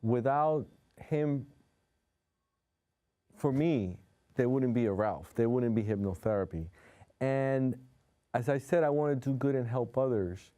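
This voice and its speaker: A man's voice: mid-range voice, measured pace, pauses often, dark tone. A man from New York City whose first language is Spanish, a hypnotherapist guest talking earnestly and openly in a TV studio interview. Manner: heartfelt, open, speaks with conviction. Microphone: studio TV set.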